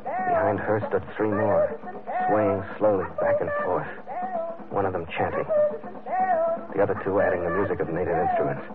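An actor playing a hard-boiled, stubborn sea captain in an old radio adventure, terse, brooding and matter-of-fact. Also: male voice, on the low side. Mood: brooding, ominous. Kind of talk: old-time radio adventure narration and acting. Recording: narrow-band old radio recording under loud music.

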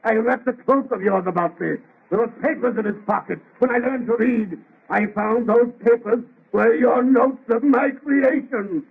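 A man playing a lonely, bitter man-made monster, rough-voiced, declaiming in anguished theatrical 1930s radio horror style. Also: very high voice, moderate pace, full character voice. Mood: bitter reproach. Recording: muffled, lo-fi vintage radio recording.

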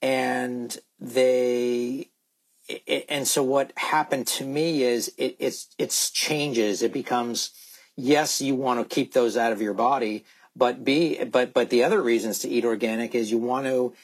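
Husky man, halting but insistent. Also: medium pitch, fairly even intonation. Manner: halting, repeats words while making points. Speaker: guest expert on organic food. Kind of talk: candid interview conversation.

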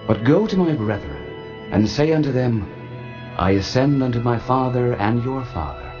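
A man voicing a calm, solemn risen teacher, speaking slowly with gentle authority in a dramatized scripture reading. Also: mid-range voice, dull audio, over music, close to an everyday voice.

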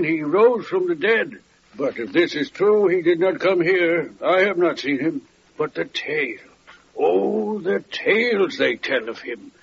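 An actor playing a weary, impatient carpenter of biblical times in solemn, archaic English, in an old-time radio drama. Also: male voice, on the high side, gravelly, a bit bright, heavily acted. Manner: solemn, formal archaic diction. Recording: dull vintage radio recording with hiss.

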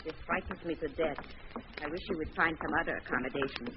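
A woman's voice: deep voice, quick pace. A woman playing a blunt, complaining, anxious landlady in a 1940s radio mystery. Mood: uneasy, gossipy. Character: anxious, plain-spoken landlady of a slum rooming house. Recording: dull, noisy old radio recording.

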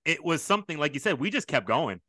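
A middle-aged man hosting a podcast, eager and animated, jumping in with quick reactions. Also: fairly high pitch, no room sound. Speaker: podcast host. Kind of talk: casual interview. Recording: dry close sound.

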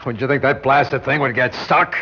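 An actor playing an irascible, stubborn boss with a pompous, blustering manner, in a classic radio drama. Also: male voice, medium pitch, fairly even intonation, normal speed, slight background noise, close to an everyday voice. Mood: exasperated. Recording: dull old radio recording.

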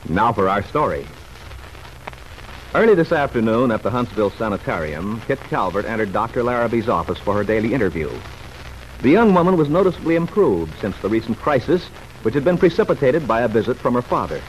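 A man's voice: medium pitch, sing-song, fairly quick. A man narrating a radio serial from a script in a formal, dramatic storytelling manner, as its announcer. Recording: faintly noisy vintage radio recording.

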